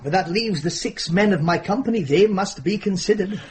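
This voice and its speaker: A man's voice: high voice, moderate pace, darkish tone, close to an everyday voice. An actor playing an earnest, troubled man of the Middle Ages in a radio drama. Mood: resolute, troubled.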